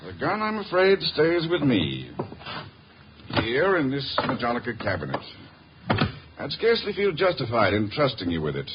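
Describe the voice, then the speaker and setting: A man acting a smug, self-possessed doctor in a 1950s radio drama, speaking formally with smooth condescension. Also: medium pitch, wildly swooping pitch, normal speed. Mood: smug, commanding. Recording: muffled, noisy old radio recording.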